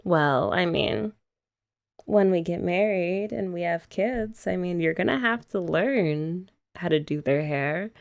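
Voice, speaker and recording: A woman's voice: lowish voice, takes it slowly, vocal fry. A young woman chatting playfully to a partner in an ASMR roleplay, teasing and laughing often. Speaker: ASMR creator. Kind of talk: scripted ASMR roleplay as a girlfriend.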